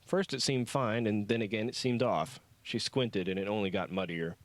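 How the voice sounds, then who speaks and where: A man narrates a novel in a lowish voice. The reading is scripted storytelling, captured very dry with no room sound. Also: steady tone, normal speed, no room sound. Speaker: audiobook narrator.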